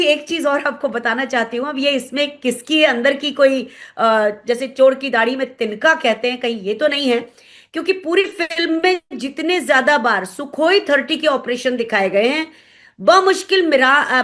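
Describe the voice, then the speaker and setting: High-pitched Indian woman giving commentary. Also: moderate pace, a bit bright. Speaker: guest commentator, former pilot. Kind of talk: analytical interview commentary.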